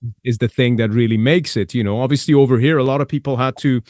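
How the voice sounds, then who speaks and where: A man from Iran hosts a casual interview in a medium-pitched voice. He speaks earnestly and with emphasis. The sound is dry, with almost no room on it. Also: fairly even intonation, quick pace, darkish tone, no room sound. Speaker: podcast host.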